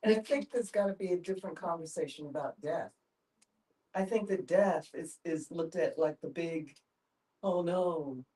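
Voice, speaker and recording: An older woman speaking reflectively in a group discussion, haltingly searching for her words. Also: lowish voice, dark tone. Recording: distant microphone.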